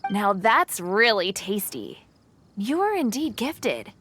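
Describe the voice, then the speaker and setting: A woman's voice: medium pitch, wildly swooping pitch, slow pace, over music. A woman playing a confident, friendly young female wilderness survival expert in a video game, speaking in a self-assured, instructive way. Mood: pleased. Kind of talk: English video game character acting.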